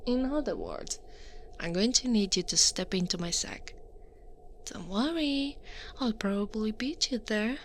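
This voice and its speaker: A woman from Italy playing a towering, teasing, sly and commanding Krampus woman in a soft, whispery ASMR roleplay. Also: mid-range voice, big pitch swings, slight background noise. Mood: calm, explaining. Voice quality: breathy, often whispering. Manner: slow, soft, deliberate, teasing. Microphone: close microphone, dry.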